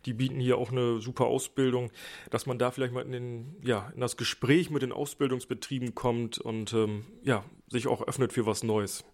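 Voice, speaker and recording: A man's voice: medium pitch, fairly even intonation, normal speed, darkish tone. A man who organizes a job fair, answering interview questions hesitantly with many fillers.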